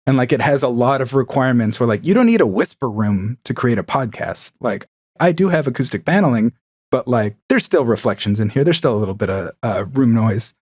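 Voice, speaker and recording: A man's voice: medium pitch, animated, a little fast, a little mellow, husky, dry recording, clean studio sound. A man chatting casually and enthusiastically as a podcast guest, recording from a home room with acoustic paneling. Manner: enthusiastic, chatty, with frequent fillers. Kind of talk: casual chat.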